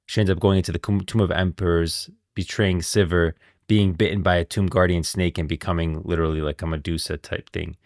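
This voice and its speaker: A man's voice: deep voice, fairly even intonation, a little fast, no room sound, studio quality. A man streaming casual commentary on game lore, thinking aloud in a rambling way and often repeating himself. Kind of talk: casual live reaction commentary.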